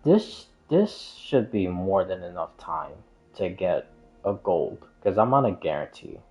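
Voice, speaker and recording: Low, slow-talking man rambling on stream. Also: sing-song, darkish tone, faint music behind. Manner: hesitant, meandering and repetitive. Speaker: game livestreamer. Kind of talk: casual rambling stream chat. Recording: dull, muffled lo-fi stream audio.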